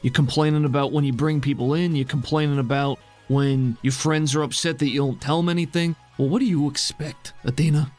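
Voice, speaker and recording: A man's voice: on the high side, steady tone, brisk, darkish tone, faint music behind, voice pushed up, lightly acted. A man voicing a mocking phantom tormentor in a tabletop game, needling with scornful questions.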